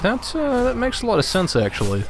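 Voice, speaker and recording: A man's voice: high-pitched, wildly swooping pitch, a little fast, heavy background noise. A man chatting casually as co-commentator on video game play, conversational with a joking way of talking. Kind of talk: casual gameplay chat.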